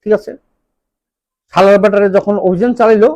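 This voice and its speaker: A man's voice: high-pitched, steady tone, fairly quick, a little mellow, no room sound. A man with a Bangladeshi accent delivering an impassioned political monologue, emphatic and scolding, throwing out rhetorical questions. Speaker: political commentator.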